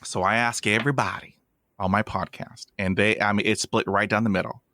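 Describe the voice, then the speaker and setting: A man's voice: fairly low pitch, fairly even intonation, brisk, pushed and bright, no echo, clean studio sound. Enthusiastic man hosting a podcast. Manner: enthusiastic, stutters with blocks and repeats. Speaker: podcast host. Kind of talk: casual interview chat.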